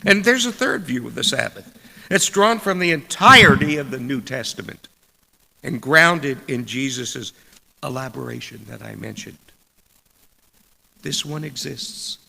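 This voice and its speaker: A man reads a sermon very slowly, breaking it into deliberate phrases with pauses. His voice is strongly gravelly, with a sharp, forceful edge. Long reverb trails his words, as in a large church. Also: medium pitch, animated. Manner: deliberate, measured, broken into short phrases with pauses. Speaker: preacher reading a sermon. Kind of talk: scripted sermon read aloud.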